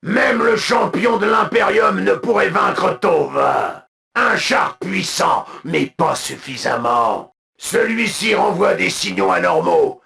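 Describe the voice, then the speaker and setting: An actor voicing a proud, zealous alien military commander for a video game, with a gravelly voice and solemn, imperious, clipped delivery. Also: male voice, high-pitched, sing-song, slow pace, sharp tone, heavily acted. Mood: defiant, aggressive. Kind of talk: video game unit voice lines, declamatory.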